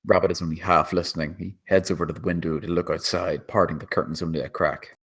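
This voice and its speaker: A man's voice: deep voice, steady tone, fast, a bit bright, breathy edge. A man reading scripted screenplay action as the narrator.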